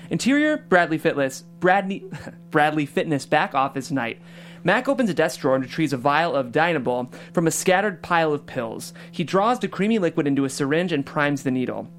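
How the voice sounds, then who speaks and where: A podcast host welcoming listeners and reading scene directions in a chatty, upbeat way. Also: medium pitch. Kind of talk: hosting a table read and reading stage directions.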